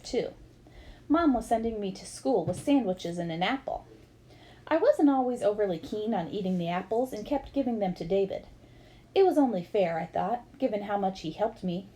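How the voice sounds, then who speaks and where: A woman's voice: medium pitch, animated, brisk, mellow. A woman reading a memoir aloud as scripted storybook narration. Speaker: reader of a book aloud.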